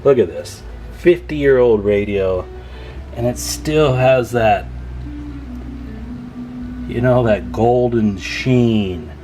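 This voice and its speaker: A man's voice: medium pitch, slow, pauses often, mellow, faint music behind, rough recording. A man giving a casual, chatty show-and-tell as a radio repairer and video host, folksy and enthusiastic.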